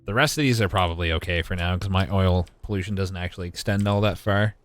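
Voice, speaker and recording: Very deep-voiced young man streaming a game. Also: quick pace. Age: 20s. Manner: wry, joking, mild swearing, talks to chat. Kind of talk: casual live gaming commentary with chat replies.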